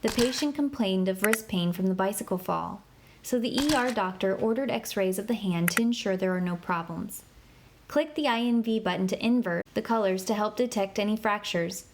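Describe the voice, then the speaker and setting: A woman giving scripted instructional narration for an interactive kids' medical app, in an even, clear, measured way. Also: mid-range voice, background noise.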